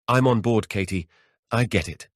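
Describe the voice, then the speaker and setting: A man's voice: fairly low pitch, normal speed, no room sound, voice pushed up, lightly acted. A male narrator plays a wry husband in an audiobook. He sounds flippant and sarcastic, his voice lifted above the narration, in a dry recording. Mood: placating. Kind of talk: audiobook character voice.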